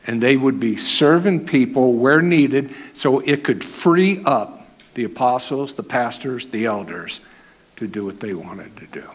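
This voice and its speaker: A man teaching a sermon in church, with a naturally loud voice held down, talking in a conversational way and asking the congregation questions. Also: mid-range voice, unhurried, husky. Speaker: preacher. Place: church hall.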